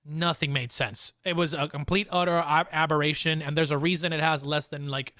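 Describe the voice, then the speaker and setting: A man hosting a casual podcast, talking excitably and repeating phrases for emphasis. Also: fairly high pitch, brisk, no room sound, clean studio sound. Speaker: podcast host.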